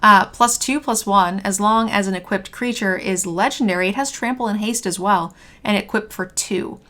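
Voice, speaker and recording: A young woman hosting a card game review, a bit hoarse as her voice is failing, talking in an upbeat conversational way. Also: mid-range voice, moderate pace, bright, forceful tone. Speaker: host. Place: home streaming room, indoors.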